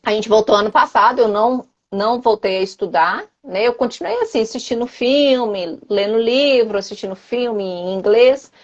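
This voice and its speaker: A woman's voice: on the high side, moderate pace, hardly any pauses. Chatty Brazilian woman on a lo-fi call. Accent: Brazilian Portuguese. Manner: chatty, repeats and restarts phrases, many tag questions. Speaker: interview guest, former student. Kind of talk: casual conversational interview.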